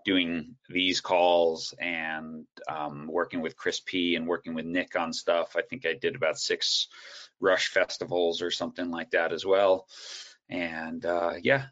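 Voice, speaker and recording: A man guest on a video call, telling his coaching story in a relaxed, rambling way with many fillers and restarts. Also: sits low, measured pace. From United States. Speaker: guest speaker, soccer coach educator. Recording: dull, lo-fi call audio.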